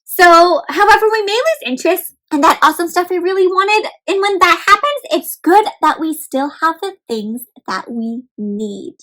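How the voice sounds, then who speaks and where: A woman's voice: very high-pitched, expressive, moderate pace, few pauses, a bit bright, rough recording. A woman hosting an interactive picture-book read aloud for children, talking directly to them and asking encouraging questions.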